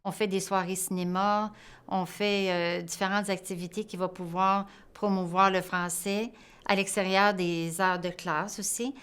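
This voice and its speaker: A woman's voice: medium pitch, steady tone, a little slow, fairly bright tone, smooth. A woman, an educator explaining things in an interview.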